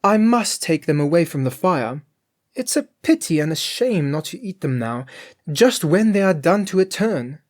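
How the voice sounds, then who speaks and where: A woman's voice: low voice, sing-song, normal speed, a little mellow, voice pushed up. A storyteller voicing a cheeky, greedy female cook from a storybook, merry, emphatic and full of excuses for herself. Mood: bright, self-justifying. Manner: emphatic, exclaiming. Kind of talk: storybook character voice.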